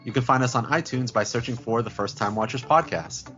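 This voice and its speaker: A man's voice: mid-range voice, a little fast, a bit bright. A man delivering a scripted podcast promo as one of the co-hosts. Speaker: podcast co-host. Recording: lo-fi promo with faint music.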